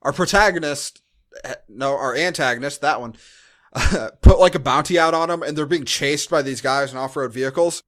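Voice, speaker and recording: A man with a voice a little higher than most hosts a casual podcast. He recaps a film loosely and conversationally, dropping in dry, sarcastic remarks. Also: normal speed, a bit bright. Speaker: podcast host. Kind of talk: casual chat, movie recap.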